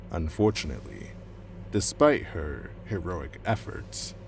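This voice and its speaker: Very deep-voiced, calculating man. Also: big pitch swings, heavily acted. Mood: cool, matter-of-fact. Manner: measured, matter-of-fact, formal. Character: cold, calculating, composed male operative with very deep voice. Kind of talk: cinematic audio drama acting. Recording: clear background noise and ambience.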